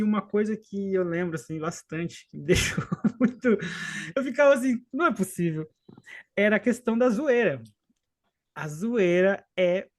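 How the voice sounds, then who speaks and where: A man in his late thirties from southern Brazil with a faint gaúcho accent, telling a personal story in an unhurried, halting way, with frequent restarts and trailing asides. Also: sits high. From Rio Grande do Sul, southern Brazil. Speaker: podcast guest and co-host. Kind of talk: casual storytelling in a podcast chat.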